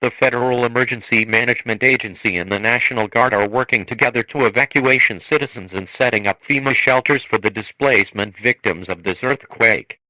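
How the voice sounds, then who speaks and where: A man reading a scripted emergency broadcast in a formal, measured manner. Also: medium pitch, bright, forceful tone, no echo, low-quality recording. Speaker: emergency alert announcer.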